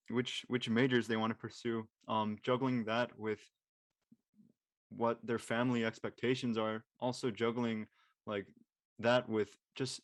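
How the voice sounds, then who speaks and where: A man's voice: fairly low pitch, no echo. Hesitant young man with a dry, close sound. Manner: hesitant, tentative, searching for words. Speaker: actor on a podcast drama panel. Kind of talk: casual panel discussion.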